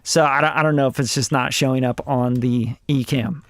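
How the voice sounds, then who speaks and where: A man's voice: medium pitch, steady tone, brisk, no room sound, studio quality. A man in his forties from Costa Rica, a music producer chatting on a livestream in a rambling, blunt, swearing way. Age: 40s. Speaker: music producer reviewing songs live. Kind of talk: casual livestream chat.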